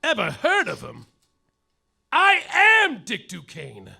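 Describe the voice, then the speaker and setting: A man narrates like a hardboiled private eye in a live stage comedy, his voice high, bright and gravelly. The delivery is deadpan but breaks into yelled outbursts. Faint music sits under it. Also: slow. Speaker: lead performer in a live comedy play, as the detective.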